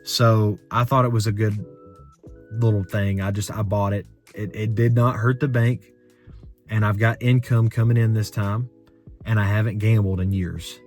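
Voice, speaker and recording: A young American man tells a long car story in a low, monotone voice, full of fillers and restarts. Faint music plays under his talk. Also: moderate pace. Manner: rambling, filler-heavy, conversational. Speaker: car vlogger. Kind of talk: unscripted story time rant.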